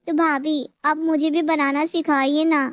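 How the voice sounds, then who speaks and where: A woman playing a sly, lazy younger daughter-in-law in an animated moral story, wheedling and petulant. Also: high voice, unhurried, no room sound, highs cut off. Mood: sweetly flattering.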